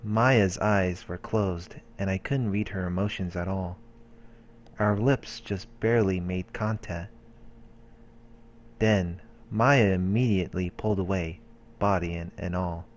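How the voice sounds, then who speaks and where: A man's voice: sits low, fairly even intonation, measured pace, dull audio, slight background noise. A man hosting a let's play, reading a visual novel's narration aloud.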